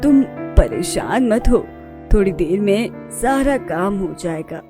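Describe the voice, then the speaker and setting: A woman's voice: mid-range voice, lively intonation, slow, music in the background. A woman playing a meek, long-suffering old mother-in-law in a cartoon story, timid and pleading. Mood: weary, meek. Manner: pleading, timid, gentle-worded. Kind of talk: Hindi cartoon story acting.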